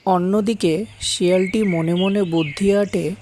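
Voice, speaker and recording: A woman's voice: fairly low pitch, fairly even intonation, a little slow, a little mellow, a little hiss or hum. A woman narrating a scripted children's fable as the storyteller.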